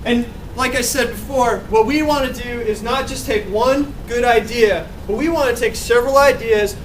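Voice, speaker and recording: A man giving a group slide presentation with an energetic, repetitive emphasis, recorded from a distance. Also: very high voice, normal speed. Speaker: student presenter. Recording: distant, with faint noise.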